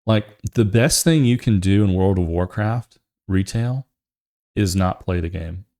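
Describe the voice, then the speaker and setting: A man's voice: sits low, measured pace, a little mellow. A man hosting a gaming show, giving casual, opinionated commentary in a rambling, emphatic way and often repeating his phrases.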